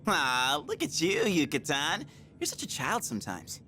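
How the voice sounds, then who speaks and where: A man's voice: a little high, wildly swooping pitch, moderate pace, pushed and bright, soft background music, exaggerated voice. A man playing a goofy, teasing teenage boy in an English video game dub, slangy and playful.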